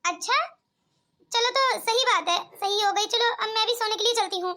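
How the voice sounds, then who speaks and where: Woman as a motherly, very high cartoon auntie. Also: normal speed, sharp tone. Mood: coaxing. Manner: coaxing, motherly. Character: kindly middle-aged mother seeking a daughter-in-law. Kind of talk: amateur cartoon comedy skit.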